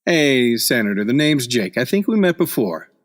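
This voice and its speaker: A man's voice: mid-range voice, sing-song, normal speed, natural voice. A man playing a cocky, wisecracking hard-boiled newspaper reporter in an old-time radio play, full of sardonic slang. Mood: wisecracking, breezy.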